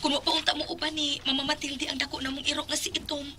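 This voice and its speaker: A woman acts an anxious young woman in an audio drama. Her voice is high and very sharp as she confides in her sweetheart, over a noisy recording. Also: little pitch movement, fast.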